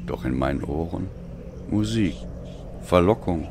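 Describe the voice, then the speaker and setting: A man reading a dark audio story as a dramatic narrator, with a brooding, solemn delivery. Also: sits low, animated, measured pace, creaky, music in the background. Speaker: audiobook reader.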